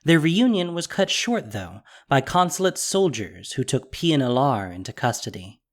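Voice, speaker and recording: Lively dramatic audio drama narrator. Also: medium pitch, normal speed. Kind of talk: dramatic scripted story narration.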